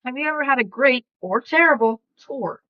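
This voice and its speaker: A woman's voice: high-pitched, lively intonation, normal speed. Woman casually posing questions, slightly muffled. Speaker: podcast question reader. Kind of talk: casual question-and-answer chat.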